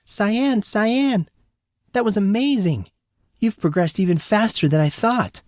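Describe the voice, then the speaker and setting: A man voicing an excitable, admiring youngster in an audiobook. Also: high voice, a little slow, no echo, studio quality, pitched above the performer's own voice. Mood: excited, proud.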